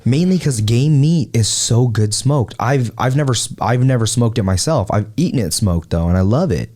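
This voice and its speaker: A man's voice: mid-range voice, expressive, normal speed, mellow. An American man hosting a podcast, chatting casually and telling personal stories in informal, slangy phrasing. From Hawaii, United States. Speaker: podcast host. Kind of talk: casual chat and personal storytelling.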